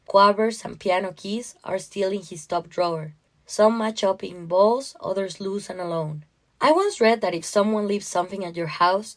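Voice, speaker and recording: A woman reading a first-person literary short story aloud, with expressive, emotionally intense delivery. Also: mid-range voice.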